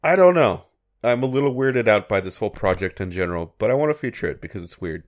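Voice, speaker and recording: A man's voice: on the low side, animated, quick pace. A man co-hosting a podcast, talking in an easygoing, amused way about odd products. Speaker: podcast co-host. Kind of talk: casual chat.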